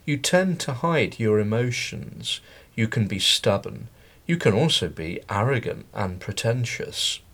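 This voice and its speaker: A man reading model texts aloud for English learners, with an unhurried and expressive delivery. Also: on the low side, vocal fry.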